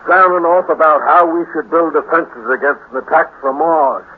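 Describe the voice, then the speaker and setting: Grumbling, higher-pitched radio dad. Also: male voice, big pitch swings, normal speed, gravelly, breathy edge, exaggerated voice. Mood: scornful. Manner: grumbling, scoffing, blunt, slangy. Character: grumpy, dismissive space-cop father of a teenager. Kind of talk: 1950s radio drama acting. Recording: scratchy, tinny 1950s radio recording with hiss.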